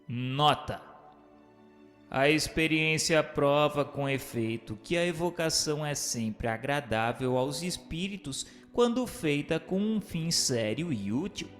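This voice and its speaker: Man, slightly high voice, very varied intonation, formal scripted reader, radio drama questioner. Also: lively intonation, slow, a bit bright, faint music behind.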